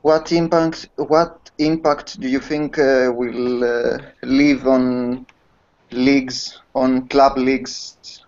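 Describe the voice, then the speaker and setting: Guy asking a question slowly, flat voice, lots of uhs, muffled call. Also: mid-range voice. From Greece. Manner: hesitant, many uhs and restarts. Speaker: student guest. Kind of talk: slow halting question. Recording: dull lo-fi video call audio.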